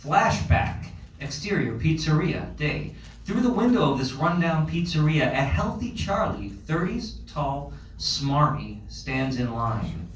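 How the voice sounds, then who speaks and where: A man narrating a screenplay's scene directions at a table read. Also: fairly high pitch. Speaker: stage-direction reader. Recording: roomy, distant, faint ambient noise.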